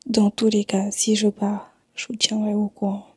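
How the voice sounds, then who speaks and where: Woman from Senegal, under the weather, raspy, monotone, low-key home vlog. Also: moderate pace, no room sound, clean studio sound. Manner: low-key, weary, partly whispered. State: sick and tired. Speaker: vlogger. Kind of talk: casual vlog talk. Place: at home in Montreal.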